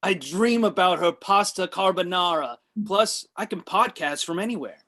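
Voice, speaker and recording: Man playing a skeptical, higher-voiced big brother. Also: normal speed, pushed and bright. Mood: bossy, sulky. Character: grumpy, skeptical older brother, insecure podcaster. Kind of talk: comedy table read.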